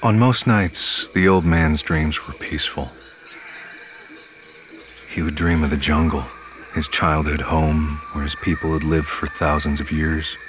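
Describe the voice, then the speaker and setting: A man's voice: very deep voice, steady tone, a little slow, a bit bright, a little hiss or hum. An actor playing a brooding male narrator in a scripted audio drama, telling the story in a dramatic way. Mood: solemn. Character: brooding male narrator recounting wounds and dark dreams.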